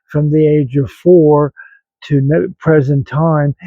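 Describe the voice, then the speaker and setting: A man in his seventies with an American accent, talking reflectively and haltingly to online viewers in a rambling personal vlog. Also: on the high side, steady tone, husky, no echo, narrow, tinny audio. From grew up in West Virginia, United States. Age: 70s. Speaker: vlog host.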